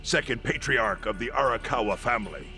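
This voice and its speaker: A man's voice: medium pitch, a little fast, bright, forceful tone, soft background music. A man playing a slick, effusive yakuza patriarch in a video game cutscene. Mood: ingratiating.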